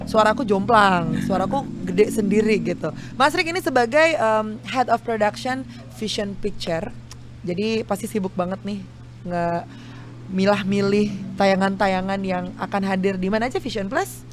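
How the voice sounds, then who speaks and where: A woman's voice: mid-range voice, normal speed, background noise. A young woman hosting a podcast in a casual, chatty, informal interview style. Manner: informal, chatty, with frequent backchannels. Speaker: podcast host.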